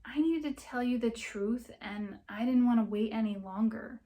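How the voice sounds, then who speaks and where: A woman's voice: a little high, moderate pace, mellow, close to an everyday voice. Woman reading as an apologetic, sheepish boyfriend. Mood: earnest apology. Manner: hesitant, placating, earnest.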